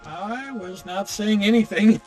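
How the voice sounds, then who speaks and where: A man giving casual gameplay commentary, talking in a distracted, halting way. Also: high voice, darkish tone. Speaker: gamer playing on a stream or recording. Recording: game music clearly behind voice.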